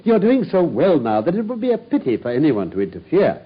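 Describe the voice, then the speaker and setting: A man's voice: fairly high pitch, wildly swooping pitch, a little fast, breathy edge, exaggerated voice. A man playing a brilliant, cool-headed detective who speaks with precise, ironic politeness in a radio drama. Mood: dryly polite. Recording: dull lo-fi radio broadcast.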